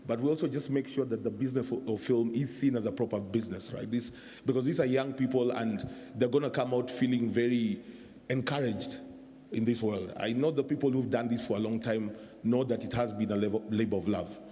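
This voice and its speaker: A man's voice: mid-range voice, steady tone, a little fast, dark tone. A man talking on a conference panel, often repeating words as he gathers his thoughts. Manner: repeats words while thinking, casual asides. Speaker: industry executive on a panel. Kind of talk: panel talk. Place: conference hall. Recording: long reverb of a hall.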